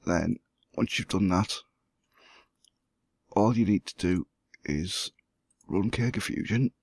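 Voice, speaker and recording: A hoarse man who has the flu, walking through a computer setup step by step as the host of a gaming channel. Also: deep voice, slow pace, darkish tone, dry recording, clean studio sound. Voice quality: gravelly, husky. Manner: plain step-by-step explaining. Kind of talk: screen-recorded tutorial.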